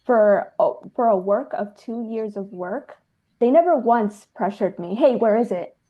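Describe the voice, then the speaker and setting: A woman's voice: fairly high pitch, expressive, unhurried, narrow, tinny audio. A woman, speaking effusively and emphatically as a filmmaker in a casual interview. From New Jersey, United States. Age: 30s. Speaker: filmmaker and dancer being interviewed.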